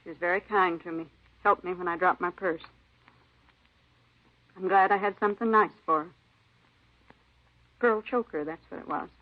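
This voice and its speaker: A woman's voice: fairly low pitch, moderate pace, pauses often, close to an everyday voice. An actress playing an aggrieved, defiant cleaning woman with plaintive, insistent, clipped folksy phrasing in a 1950s radio drama. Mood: calm, self-justifying. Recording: muffled vintage radio recording.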